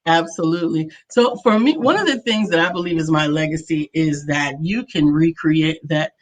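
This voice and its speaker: A woman's voice: low-pitched, normal speed. A woman in her fifties with an American accent, talking casually as the co-host of a talk show. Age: 50s.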